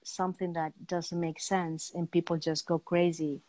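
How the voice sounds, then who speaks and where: A woman in her fifties hosting a casual interview on a video call, pausing often as she searches for words. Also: fairly low pitch, level tone, warm tone, no echo. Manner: hesitant, pausing mid-sentence to search for words. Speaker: talk show host. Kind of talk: casual interview chat about art. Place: indoor room at home, on a video call.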